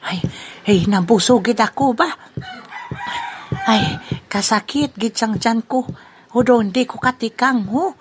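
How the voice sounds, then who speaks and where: A woman's voice: a little high, measured pace, pauses often, a little hiss or hum, close to an everyday voice. A woman with a Western Visayan Filipino accent playing a gluttonous, grumbling male freeloader in a comic audio drama. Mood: stuffed, lazy.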